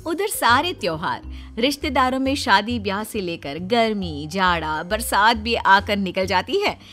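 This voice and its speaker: A woman's voice: medium pitch, animated, unhurried, a bit bright. A woman narrating a scripted comic story, chatting directly to her listeners. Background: music.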